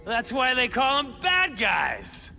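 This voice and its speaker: A jittery high-voiced street thug. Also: male voice, wildly swooping pitch, slow pace, vocal fry, sharp tone, full character voice. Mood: smug. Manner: nervous, stammering, defensive. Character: jittery small-time male street thug informant. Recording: game audio with long reverb and faint music.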